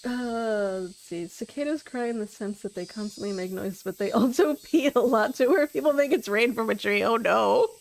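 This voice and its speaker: A woman's voice: fairly high pitch, normal speed, vocal fry, hardly any pauses, slight background noise. A woman streamer giving casual, musing and lightly amused commentary on a game as she plays.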